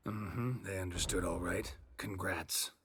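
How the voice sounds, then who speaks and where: An actor playing a stoic, grey-haired veteran warrior in a fantasy game, dry, terse and deadpan. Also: male voice, low voice, unhurried, background noise. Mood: dry, matter-of-fact.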